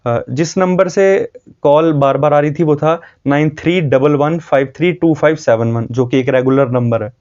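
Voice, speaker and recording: A man's voice: on the high side, moderate pace, darkish tone, highs cut off. A man from Jammu with a North Indian accent, earnestly explaining and warning viewers in an informal way, repeating himself for emphasis. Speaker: video host.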